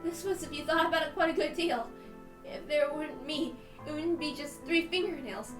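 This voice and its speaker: A woman with a very high voice gives a dramatic first-person reading of a visual novel. Her delivery is tense and deliberate. She sounds set back from the mic in a roomy space, with clear music underneath. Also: recorded from a distance. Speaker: reader on a stream.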